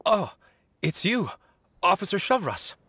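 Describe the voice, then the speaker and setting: A man playing a composed, polite novelist and killer, speaking articulately and deliberately in an anime video game. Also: high voice, sing-song, background noise, exaggerated voice. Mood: wary.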